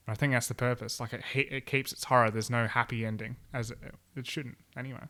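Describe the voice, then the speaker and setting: A man's voice: mid-range voice, little pitch movement, brisk, pushed and bright. A man co-hosting a podcast, chatting casually in a laid-back, easily amused way. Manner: laid-back, chuckles often. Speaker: podcast co-host. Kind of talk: casual chat.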